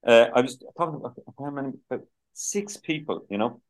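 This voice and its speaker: A man's voice: medium pitch, fairly quick, low-quality recording. A man from Dublin with a Dublin Irish accent, chatting as a guest in a casual interview, rambling with many restarts and "you know"s. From Dublin, Ireland.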